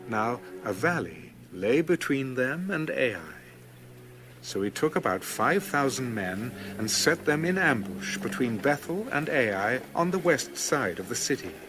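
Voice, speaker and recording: A man narrating scripture as a scripted audio Bible reading, measured and formal. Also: mid-range voice, sing-song, noisy background. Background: music.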